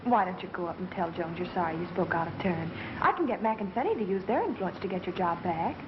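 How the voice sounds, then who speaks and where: A woman's voice: fairly low pitch, lively intonation, fast talker, natural voice. A woman acting a breezy, practical, forward young American in a 1930s film, speaking in a direct, matter-of-fact way. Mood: practical, prodding. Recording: dull, noisy vintage 1930s film soundtrack.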